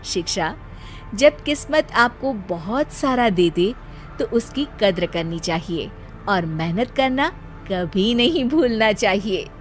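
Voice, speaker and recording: A woman's voice: medium pitch, animated, slow, faint music behind. A woman narrating a scripted cartoon story.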